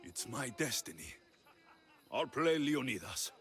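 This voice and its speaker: Young mercenary hero, medium pitch, lively inflection, confident, direct, wry, video game dialogue. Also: male voice, measured pace, faint music behind. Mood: bemused, assured. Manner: direct, assured, dryly teasing. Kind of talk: video game cutscene acting.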